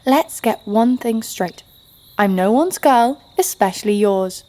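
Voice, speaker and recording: A woman's voice: a little high, animated, unhurried, dark tone. A woman playing a brash, sardonic young adventurer, blunt and sarcastic, narrating her exploits in an audio drama. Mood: disgusted, defiant.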